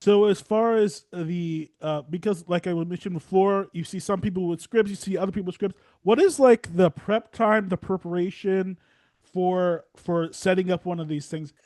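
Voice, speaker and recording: A man with a fairly high voice hosts a casual podcast interview. He rambles conversationally, doubling back on his phrases, in a dry recording with little room sound. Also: moderate pace, darkish tone, dry recording. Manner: rambling, repeats and restarts phrases. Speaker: podcast host and theater reviewer.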